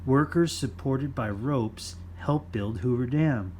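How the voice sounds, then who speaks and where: Slow-paced man reading to children. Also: medium pitch, darkish tone, slight background noise. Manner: simple, deliberate phrasing for young listeners. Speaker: children's storytime reader. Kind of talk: read-aloud of a children's nonfiction book.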